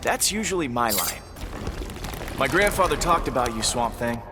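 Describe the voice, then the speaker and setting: A man's voice: mid-range voice, expressive, moderate pace, very noisy, close to an everyday voice. A man playing an arrogant, snide young vigilante in a fighting game, cocky and dismissive. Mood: scornful. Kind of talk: fighting game character dialogue. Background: loud music.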